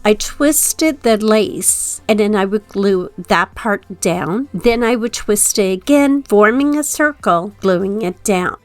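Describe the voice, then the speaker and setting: A woman's voice: mid-range voice, dry recording. A middle-aged woman recording a craft tutorial voice-over at home, her nose stuffed up and her voice still recovering, recounting each step plainly. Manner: plain, matter-of-fact recounting. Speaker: craft channel host.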